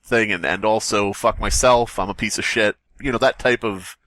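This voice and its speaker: A man's voice: fairly low pitch, fairly even intonation, normal speed, bright, forceful tone, no echo. A man co-hosting a podcast, chatting casually in a rambling, crude way and laughing often. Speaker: podcast co-host. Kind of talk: casual banter.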